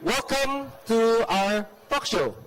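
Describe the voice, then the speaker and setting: A man's voice: extremely high pitch, lively intonation, slow, a bit bright. A teenage boy co-hosting a school talk show, upbeat and rehearsed, with fillers when he asks questions. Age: teens. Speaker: student host. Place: school hall.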